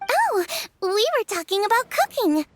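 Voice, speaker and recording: A woman voicing a tiny, childlike, anxious companion girl with a squeaky voice in an anime game. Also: big pitch swings, normal speed, slight background noise. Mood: chatty. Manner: refers to self in third person, flustered.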